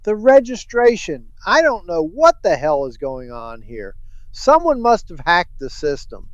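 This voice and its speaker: An actor playing a gruff, impatient police officer in an audio drama, brusque and exasperated. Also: male voice, sits high, huge pitch swings, measured pace, no echo, muffled recording, heavily acted.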